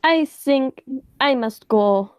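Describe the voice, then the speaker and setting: A woman's voice: high-pitched, animated, slow pace, smooth, no echo. A woman playing a nervous, timid doctor in a radio play, hesitant and pleading. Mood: uneasy.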